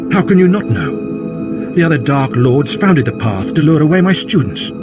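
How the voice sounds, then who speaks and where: Grandiose sith lord from a game, bossy and menacing, loud music behind him. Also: male voice, fairly high pitch, sing-song, normal speed. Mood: haughty, threatening. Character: pompous self-proclaimed Sith lord ruling a jungle temple. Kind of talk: theatrical video game voice acting.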